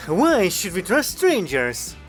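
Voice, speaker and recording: A woman's voice: mid-range voice, wildly swooping pitch, slow pace, faint music behind. A woman voicing an earnest, dutiful young woman soldier in a story.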